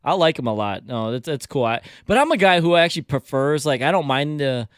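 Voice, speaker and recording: Chatty radio cohost dude, kinda high voice, dry studio sound. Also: male voice, expressive, brisk, clean tone, dry recording. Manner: teasing, chatty. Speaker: sports radio co-host. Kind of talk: sports talk radio.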